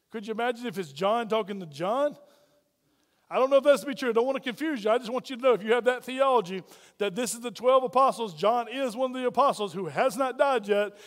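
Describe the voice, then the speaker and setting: A man preaching a sermon as pastor, loud and folksy, full of playful asides to the congregation. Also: high voice, long reverb tail.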